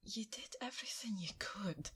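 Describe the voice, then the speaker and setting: An actress playing a compassionate, panicky survivor who swears freely, in a naturalistic audio drama. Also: female voice, mid-range voice, lively intonation, moderate pace, a bit bright, husky, in a whisper. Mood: hushed concern.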